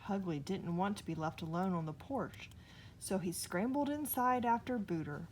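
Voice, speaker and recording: A woman's voice: on the low side, moderate pace. A woman narrating a children's story expressively, recorded with noise behind her. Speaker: storybook reader.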